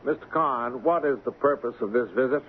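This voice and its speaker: An actor playing a haughty, callous shipping company boss with a formal, condescending manner in an old-time radio drama. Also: male voice, mid-range voice, fairly quick, natural voice. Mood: stiff, impatient. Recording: lo-fi, noisy radio drama recording.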